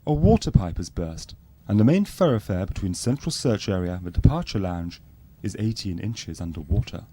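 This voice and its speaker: A man playing an earnest, deadpan narrator of a spoof radio docu-soap. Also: deep voice, normal speed, a little hiss or hum. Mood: matter-of-fact.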